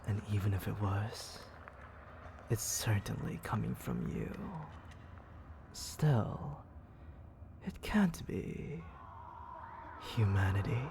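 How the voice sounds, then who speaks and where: A man voicing a menacing, teasing demon lord in an ASMR roleplay, breathy and often dropping to a whisper, condescending and coaxing toward a captive. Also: fairly low pitch, lively intonation, background noise, heavily acted. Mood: intrigued, probing. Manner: slow, taunting, condescending, coaxing.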